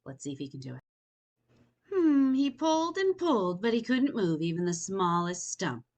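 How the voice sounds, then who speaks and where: A woman reading a picture book aloud to young children, expressive and inviting, pausing to wonder aloud in chatty asides. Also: mid-range voice. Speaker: children's storytime reader.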